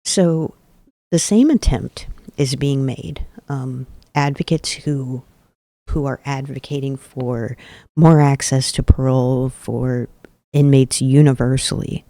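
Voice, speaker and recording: Earnest man with a gravelly, dark voice. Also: mid-range voice, fairly even intonation, no echo. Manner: earnest, emphatic, deliberate repetition. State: voice a little off today. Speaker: podcast host. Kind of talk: advocacy commentary.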